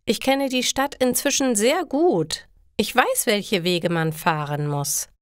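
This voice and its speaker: A woman voicing an eager, easily indignant young male pizza courier in a storybook for language learners, with emphatic, animated delivery. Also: mid-range voice, takes it slowly, no room sound, clean studio sound. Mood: indignant, keen. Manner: animated, emphatic questions and retorts.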